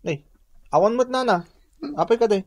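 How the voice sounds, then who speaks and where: A man's voice: sits high, expressive, moderate pace. An actor playing a hard-up, plain-spoken village working man in an Ilocano radio drama, exclamatory and colloquial. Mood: easygoing.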